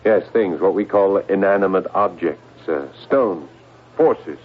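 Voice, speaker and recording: An actor in a 1970s radio drama playing an agitated, paranoid middle-aged intelligence agent, intense and halting in delivery. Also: male voice, low voice. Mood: earnest, confiding. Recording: muffled, noisy old radio broadcast.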